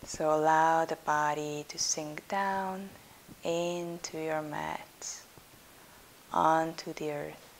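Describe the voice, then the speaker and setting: Woman, yoga nidra instructor, very slow pace, soothing, soft breathy phrase endings, gravelly fry, long pauses, guided meditation. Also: low-pitched, poor audio. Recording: steady background hiss.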